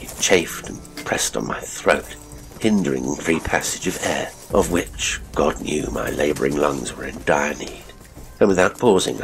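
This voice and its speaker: A man narrating a classic horror story as a dramatic audiobook, grave, theatrical and suspenseful, with deliberate phrasing. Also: low voice, low-quality recording.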